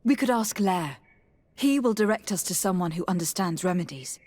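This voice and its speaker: A woman playing a composed, courteous young noblewoman diplomat in a video game, speaking formally in measured phrases with a husky voice. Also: fairly low pitch, animated, a little mellow, no room sound. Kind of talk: video game dialogue acting.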